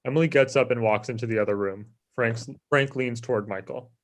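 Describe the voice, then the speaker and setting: A man's voice: medium pitch, brisk. A man giving scripted narration, reading out the stage directions of a screenplay.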